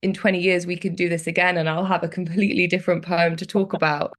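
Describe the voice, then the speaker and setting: A young woman with a British accent, reflective and animated as she tells stories in a podcast conversation. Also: on the low side, quick pace. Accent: British English. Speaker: guest.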